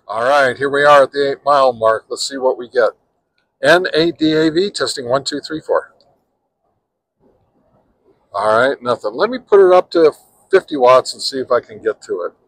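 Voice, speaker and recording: A man in his sixties hosting a casual radio-testing vlog, talking in a relaxed, halting way with frequent pauses and restarts, and counting off test calls in a steady cadence. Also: medium pitch, poor audio. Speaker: ham radio channel host.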